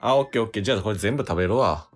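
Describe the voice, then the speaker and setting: A man's voice: fairly low pitch, lively intonation, racing, soft background music. A man playing a casual, nervous teenage boy with a Kansai accent in an amateur radio drama. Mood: easygoing.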